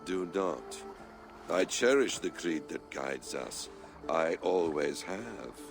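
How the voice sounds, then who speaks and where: An actor playing a calm, calculating ancient man in a video game, courteous but superior, with measured formal speech. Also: deep voice, music in the background, exaggerated voice. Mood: superior, assured. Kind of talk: dramatic video game acting.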